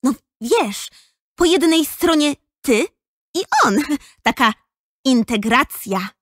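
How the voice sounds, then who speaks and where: A woman's voice: high-pitched, animated, slow pace, no echo, clean studio sound, exaggerated voice. A female performer playing an earnest, caring, persistent schoolgirl in a children's audio drama. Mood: concerned, persuasive.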